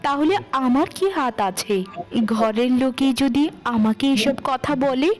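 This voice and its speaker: A high-pitched, humble young woman from a children's moral cartoon, acted out by a woman. She is the put-upon older sister, gentle and submissive, with an apologetic, pleading way of talking. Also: a little slow.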